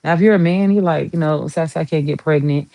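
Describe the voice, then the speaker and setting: A woman's voice: low-pitched, fast, vocal fry, no room sound, muffled recording. A woman with a Southern US accent giving a chatty, rambling tarot reading, playful and talkative as she pulls cards. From Southern United States. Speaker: tarot reader.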